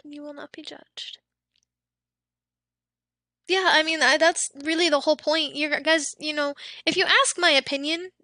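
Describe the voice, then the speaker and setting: A young woman streamer talks loosely with her chat, high-pitched and bright, sometimes slipping into breathy half-whispers. Her voice is a little worn, as if she has been losing it for days. The sound is close and dry, with no room around it. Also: no echo. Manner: chatty, reads out chat, trails into soft whispery asides. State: losing her voice, throat a bit off. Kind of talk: casual chat with live stream viewers.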